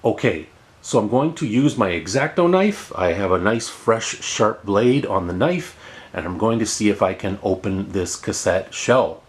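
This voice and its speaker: A man's voice: on the low side, animated, a little slow. A middle-aged man giving casual hands-on tutorial narration, explaining plainly and repeating words for emphasis. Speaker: host of a how-to tech repair video.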